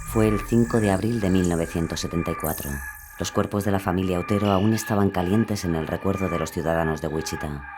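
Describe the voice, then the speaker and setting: A man reading scripted true-crime narration in a grave, measured way. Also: low voice, over music.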